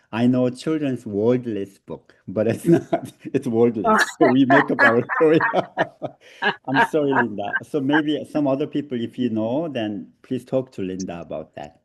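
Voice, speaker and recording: A man from South Korea with a Korean accent, presenting an online seminar over a video call, laughing often and pausing on fillers. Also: medium pitch.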